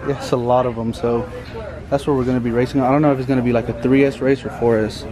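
A man's voice: medium pitch, fairly even intonation, quick pace, a crowd in the background, low-quality recording. A man in his twenties vlogging on a handheld camera, chatty and teasing as he talks to the camera at an airport, in a car and at an outdoor expo. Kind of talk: casual travel vlog. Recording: loud background noise.

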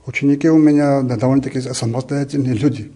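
A man in his fifties answering interview questions as a teacher, halting and rephrasing, indoors on a handheld microphone. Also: medium pitch, steady tone, warm tone. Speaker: art teacher being interviewed. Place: indoor art gallery. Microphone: reporter's handheld microphone.

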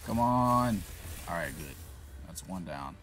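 A man giving casual, profanity-laced commentary while playing a shooter game, reacting loudly to what happens on screen. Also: deep voice, animated, slow pace, faint music behind. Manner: swears freely, reacts loudly to in-game events. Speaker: gameplay commentator.

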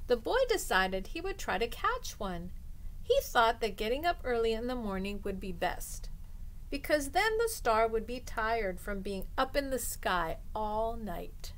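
A middle-aged woman reading a picture book aloud to children as a storytime host, expressively and deliberately, drawing out the ends of phrases. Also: on the high side, sing-song, low-quality recording. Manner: deliberate, drawing out phrase endings for young listeners. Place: small indoor room lined with bookshelves.

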